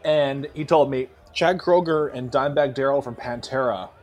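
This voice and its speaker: A man's voice: medium pitch, moderate pace, darkish tone, highs cut off. A man co-hosting a podcast in casual, profane banter, emphatic and repeating himself for effect. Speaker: podcast co-host.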